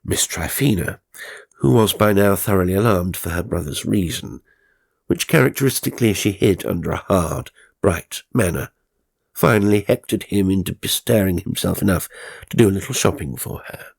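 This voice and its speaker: A man narrating a horror story in a measured, ominous, theatrical way, with a gravelly, husky voice. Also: deep voice, no room sound, clean studio sound. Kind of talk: dramatic scripted horror narration. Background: faint music.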